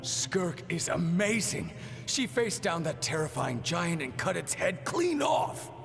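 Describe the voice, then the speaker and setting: A man's voice: high voice, big pitch swings, slow pace, creaky, exaggerated voice. A man voicing an eager, admiring apprentice in a video game, speaking emphatically. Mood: awed. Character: admiring, excitable male apprentice gossiping about heroes. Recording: long reverb, game music behind.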